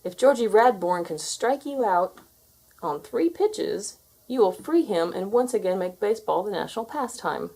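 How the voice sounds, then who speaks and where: A woman's voice: mid-range voice, expressive, moderate pace, mellow. A woman voicing a polite, hesitant female lawyer pleading formally in a children's storybook. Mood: hesitant, proposing. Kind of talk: children's storybook read aloud.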